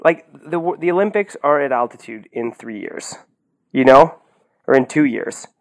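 A man's voice: medium pitch, lively intonation, normal speed. A man talking casually and bluntly about sports as a podcast commentator, incredulous and full of rhetorical questions and the word "like". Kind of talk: casual opinionated sports talk.

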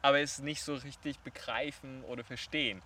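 A man's voice: medium pitch, fairly even intonation, a little fast, sharp tone. A man, asking thoughtful questions as the interviewer. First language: Chinese. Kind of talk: casual conversation. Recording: distant from the mic, faint street noise.

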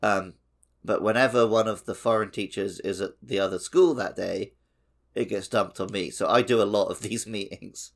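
Low-voiced man chatting, slightly gravelly. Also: steady tone, moderate pace. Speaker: podcast co-host. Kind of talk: casual chat.

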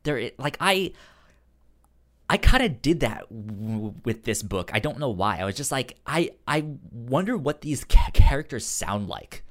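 Animated, stuttering man in casual discussion. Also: medium pitch, unhurried. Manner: stutters, repeating sounds and words. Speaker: podcast co-host. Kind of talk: casual comic-book discussion.

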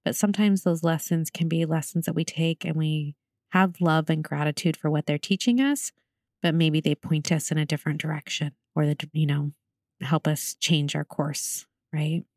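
A woman's voice: sits low, steady tone, normal speed, darkish tone, no room sound, clean studio sound. A woman hosting a podcast, chatting casually and reflectively and affirming her guest as she talks. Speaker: podcast host. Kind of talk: casual, reflective interview chat.

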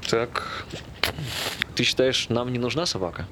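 An actor playing a calm, practical man who speaks laconically and asks probing questions in a naturalistic stage play. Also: medium pitch, unhurried, heavy background noise, lightly acted. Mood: persuading.

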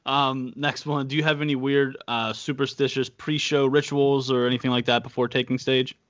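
A man's voice: medium pitch, level tone, normal speed, a bit bright, highs cut off. A man hosting a podcast, asking a list of casual questions with short upbeat replies. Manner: brief, upbeat acknowledgments and listed questions. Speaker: podcast host.

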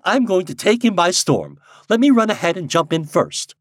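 Man reader as merry society lady, headstrong, playful, raised pitch, lively swoops, storybook character. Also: dry recording, studio quality. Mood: merry, defiant. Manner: brisk, playful, exclaiming. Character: merry, headstrong society woman, confident and impulsive.

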